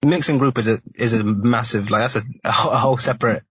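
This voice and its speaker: A man's voice: mid-range voice, quick pace, fairly bright tone, breathy edge, no echo. A man hosting a podcast, chatting casually with short musing remarks. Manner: brief backchannels and musing remarks. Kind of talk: casual chat. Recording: dry, dull lo-fi sound, mic at a distance.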